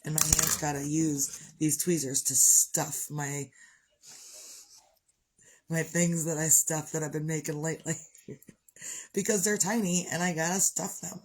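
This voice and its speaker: A woman with a congested voice who keeps clearing her throat, talking on a live video in a chatty, upbeat, rambling way about gratitude and her morning. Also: low voice, steady tone, unhurried, long pauses, a little mellow. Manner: chatty, upbeat, wanders off topic. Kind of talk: rambling motivational chat.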